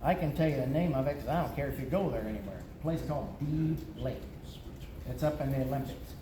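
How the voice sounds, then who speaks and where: A man's voice: mid-range voice, quick pace. An older man giving a plainspoken eulogy for an old friend, reminiscing at a memorial gathering. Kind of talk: memorial remembrance. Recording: echoey room with background noise.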